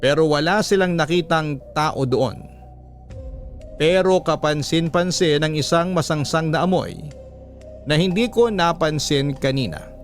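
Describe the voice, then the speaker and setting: A man reads a dramatic story as a radio narrator. His pitch sits a little above average for a male voice. Quiet music runs beneath the narration. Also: measured pace. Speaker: narrator reading a listener's letter. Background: soft background music.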